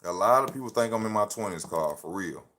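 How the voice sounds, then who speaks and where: A man in his thirties talks straight into his phone on a live stream, easy and slangy. His voice sits on the low side, and he drives home each point by saying it twice. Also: expressive, quick pace, fairly bright tone. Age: 30s. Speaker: livestream host. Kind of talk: casual motivational live talk.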